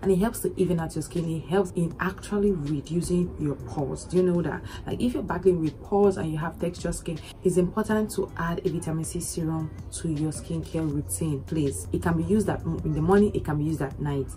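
Chatty hoarse young woman vlogging. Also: deep voice, a little fast, hardly any pauses, faint music behind. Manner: chatty, animated, repeats herself for emphasis. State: hoarse, recovering lost voice. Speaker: beauty vlogger. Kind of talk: casual skincare vlog to camera. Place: indoors against plain orange backdrop.

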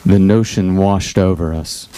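A man's voice: low-pitched, fairly even intonation, unhurried, dark tone, slight background noise. A man giving a dramatic literary narration at a live bookshop event. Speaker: reader in a staged book reading. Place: bookshop event before a seated audience.

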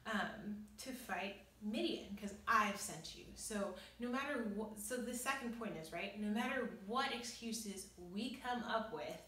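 A young woman giving informal, earnest Bible teaching in a conversational way with emphatic pauses, recorded in a small bare room. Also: medium pitch, pushed and bright. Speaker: devotional teacher and host. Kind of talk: informal Bible teaching, reading and explaining scripture. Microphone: camera a few metres away. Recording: echoey room, distant microphone.

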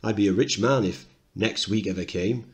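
A man's voice: on the low side, normal speed, close to an everyday voice. A man playing an irate, hard-nosed milkman, rude and impatient, in a play reading. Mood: irate, dismissive.

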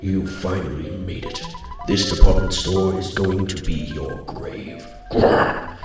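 A man voicing a gloating, growling ghost monster, a gravelly video game villain. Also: sits low, slow, pitched below the performer's own voice. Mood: gloating menace. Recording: heavy echo, distant, music underneath.

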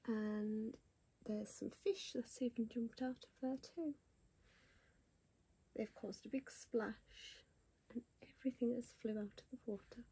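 A woman's voice: fairly high pitch, fairly even intonation, a little slow, long pauses, slightly rough, low-quality recording. Whispering woman reading a bedtime story. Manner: soft whisper with gasps and questions to a child.

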